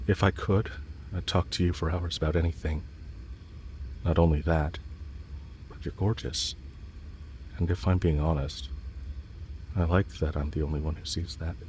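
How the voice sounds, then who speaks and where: A man playing a lovestruck villain in an intimate audio roleplay, speaking with earnest, halting hesitation and yearning. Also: a bass voice, fairly even intonation, a little mellow, a little hiss or hum. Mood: tender, soft. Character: lovestruck male villain confessing to his enemy, yearning.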